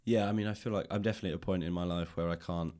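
A man's voice: sits low, fairly even intonation, very fast, a little mellow. A man hosting a conversational interview, speaking in a casual, reflective and discursive way. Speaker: podcast host, interviewer.

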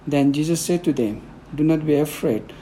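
Nepali-accented man, mellow soft-spoken guest reader, hesitant fillers, faint hiss behind. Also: fairly high pitch, normal speed, low-quality recording. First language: Newari. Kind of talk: conversational interview, then Bible reading.

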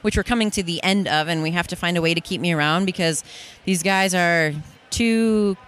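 A woman's voice: lowish voice, normal speed, a bit bright, clear, resonant tone. A woman guest in a casual in-person interview, bubbly and enthusiastic, recorded on a busy expo floor. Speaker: guest, fitness workshop presenter. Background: crowd ambience.

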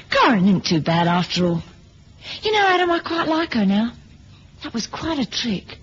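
A woman's voice: on the high side, very animated, unhurried, breathy edge, heavily acted. An actress playing a feisty, teasing woman, companion to a spy in a 1970s radio drama. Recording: dull, noisy old radio recording.

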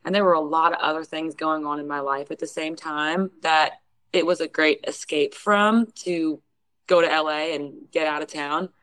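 A young woman from Houston. She is a singer being interviewed, and she tells a story in a lively way, acting out what other people said. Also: low voice, moderate pace, smooth, dry recording. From Houston, Texas. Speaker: guest singer.

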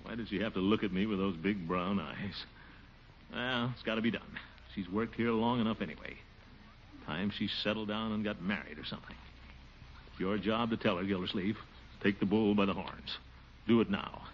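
An actor playing a pompous, soft-hearted middle-aged water commissioner who blusters and talks to himself, in 1940s radio sitcom style. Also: male voice, on the low side, normal speed, lightly acted. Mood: wavering. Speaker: lead character. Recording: dull lo-fi 1940s radio recording with faint hiss.

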